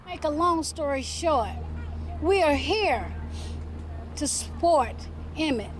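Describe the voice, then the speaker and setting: A woman recounts family memories in a news interview. Her voice is high and her delivery is very slow, with faint noise in the background. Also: animated. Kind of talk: storytelling interview recollection.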